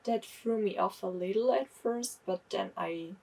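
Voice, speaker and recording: A young woman reviews books in a slow, unhurried way. Her voice is a little husky. She talks candidly and often stops to correct herself. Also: medium pitch, a little mellow, distant mic. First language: German. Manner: chatty, candid, self-correcting. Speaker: book vlogger. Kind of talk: casual book review.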